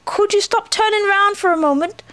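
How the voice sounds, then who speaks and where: A woman voicing an earnest, well-meaning but slow-witted male bear in a storybook, hesitant and muddled. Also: extremely high pitch, fairly bright tone, pitched above the performer's own voice.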